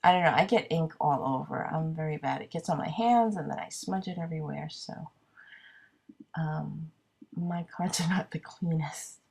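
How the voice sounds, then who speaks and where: A woman talks her way through a craft project in a relaxed, meandering way, pausing on ums and teasing herself. Her voice sits a little low and sounds husky and stuffed up from a cold. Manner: chatty, self-deprecating, thinking aloud with many ums. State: congested with a cold. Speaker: card-making crafter. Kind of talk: casual craft video narration.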